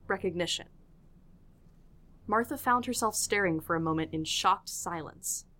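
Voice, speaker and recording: A woman's voice: lowish voice, moderate pace, pushed and bright. A woman narrating a scripted audio drama in a storytelling style.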